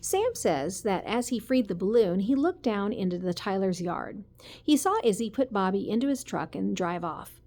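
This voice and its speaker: A woman, a teacher, reading a children's story aloud in an expressive way with the odd stumble. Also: medium pitch, normal speed. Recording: noticeable background noise.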